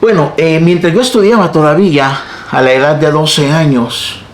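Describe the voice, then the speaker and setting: A man's voice: a little high, moderate pace, reverberant. A man in his sixties from El Salvador with a Salvadoran Spanish accent, a pastor giving his personal testimony in an interview and often joking and laughing at himself. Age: 60s. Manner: jokey, laughs at his own jokes.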